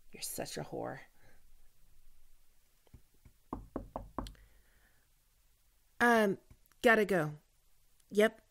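A woman acts out an awkward, smitten female team leader in an audio roleplay. Her speech is slow and halting, full of stammers and apologies. Her voice often crackles with vocal fry. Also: medium pitch, a bit bright, full character voice. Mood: mortified, confiding. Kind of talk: ASMR roleplay acting, one-sided dialogue.

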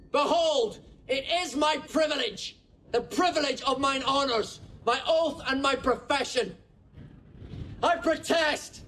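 A high-pitched, ringing young knight. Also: male voice, gravelly, bright, forceful tone, full character voice, poor audio. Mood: proud. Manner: declamatory, slow, ringing delivery. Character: defiant young noble knight issuing a challenge. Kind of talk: classical Shakespearean audio drama acting.

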